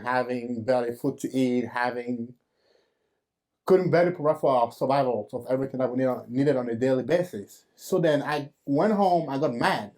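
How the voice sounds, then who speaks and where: A man, hosting and giving his own faith testimony in an earnest, halting storytelling manner with fillers and restarts. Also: medium pitch, darkish tone. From Cameroon. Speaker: host.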